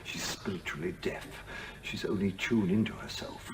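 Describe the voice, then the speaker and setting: An actor in a radio play voicing the roguish, blustering ghost of a sea-captain, teasing and domineering. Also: male voice, low-pitched, normal speed, background noise, natural voice. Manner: blustering, teasing, salty-tongued. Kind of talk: theatrical radio play acting.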